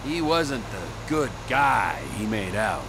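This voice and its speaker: A man's voice: medium pitch, sing-song, slow. An actor playing a volatile, menacing middle-aged criminal trucker in a video game, sardonic and profane, drawing out his words. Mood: bitter. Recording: vehicle noise behind the voice.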